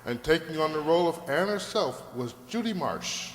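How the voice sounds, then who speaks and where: A man working as an announcer, reading scripted radio drama credits in a measured, formal manner. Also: a little high, expressive, very noisy. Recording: echoey hall, loud music behind.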